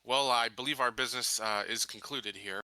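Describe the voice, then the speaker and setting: A man's voice: medium pitch, moderate pace, pushed and bright, no echo, poor audio. A man playing a tabletop game, casually talking through his character's orders and plans. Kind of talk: casual in-character planning and chat.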